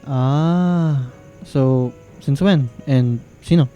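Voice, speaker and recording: A quiet young Filipino student in a radio drama, the supportive friend. His voice is mellow and his delivery halting. Faint music sits behind him. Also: mid-range voice. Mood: guarded concern. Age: young adult. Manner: hesitant, halting. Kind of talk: radio drama acting with English code-switching.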